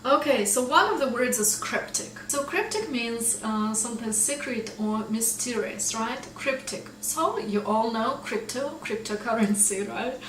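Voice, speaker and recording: A woman's voice: fairly high pitch, lively intonation, fairly bright tone, echoey room, distant mic, a little hiss or hum, poor audio. Russian-accented woman teaching English vocabulary. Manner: explains slowly, tags lines with "right?". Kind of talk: casual vocabulary lesson.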